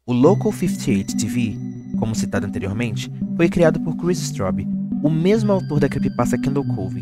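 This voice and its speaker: A man with a Brazilian accent delivering scripted explainer narration in the manner of a video essay. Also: medium pitch. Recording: music bed under the voice. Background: background music.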